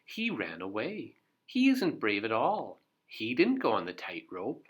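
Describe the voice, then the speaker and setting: A man voicing a mischievous little female storybook troublemaker, declaiming loudly and goadingly. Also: medium pitch, wildly swooping pitch, measured pace, slightly rough, full character voice. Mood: puzzled.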